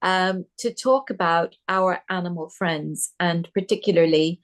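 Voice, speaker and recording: A woman hosts an interview and puts her questions at an unhurried pace. She speaks politely and in a measured way. Small hesitant fillers break up her phrasing. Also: on the low side, fairly even intonation. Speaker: interview host. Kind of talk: interview hosting.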